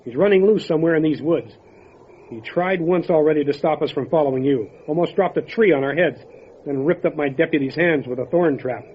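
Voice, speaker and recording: A man playing a steady, wary mountain sheriff in an old-time radio horror drama, plain-spoken and grave. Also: fairly high pitch, brisk, husky. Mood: grave warning. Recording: muffled, faintly noisy lo-fi radio recording.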